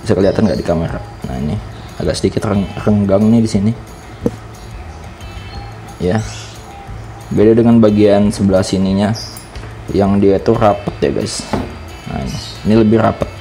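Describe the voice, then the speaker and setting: A man's voice: fairly low pitch, fairly even intonation, moderate pace, long pauses, dark tone, soft background music. A man with a Jakarta Indonesian accent giving a casual product review, explaining things informally step by step as a vlogger.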